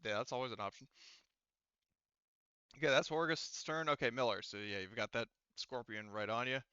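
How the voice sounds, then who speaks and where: Male game master, forward bright tone, smooth and clean, casual rules talk, dry but slightly muffled recording. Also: mid-range voice, normal speed, dry recording. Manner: thinks aloud through rules and dice rolls. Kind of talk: running a tabletop roleplaying game, casual.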